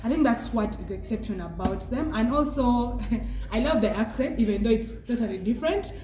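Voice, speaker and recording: A young Ugandan woman with a Ugandan English accent, a guest chatting casually and thoughtfully, pausing with fillers. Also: fairly high pitch, expressive, warm tone, roomy sound, slight background noise, rough recording. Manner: thoughtful, hesitant with many fillers, laughs often. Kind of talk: casual interview chat.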